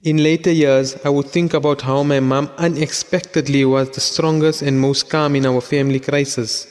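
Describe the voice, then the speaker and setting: An earnest teenage son looking back on a family crisis, voiced by a young man. The voice sits a little high, with a long reverb trailing after it, and the narration is reflective and measured. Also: flat delivery, darkish tone, close to an everyday voice. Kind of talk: audio drama acting and narration.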